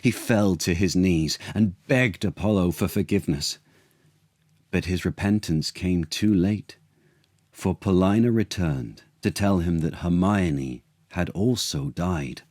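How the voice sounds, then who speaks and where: Deep, slow male storyteller. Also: no echo, clean studio sound. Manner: deliberate, theatrical, expressive. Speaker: actor reading children's story. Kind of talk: dramatic storybook narration.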